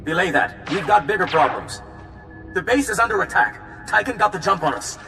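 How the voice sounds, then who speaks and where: A man's voice: high-pitched, lively intonation, moderate pace, roomy sound, long reverb tail, over music. A man playing an urgent military captain in a game, calling in with clipped speech. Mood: alarmed.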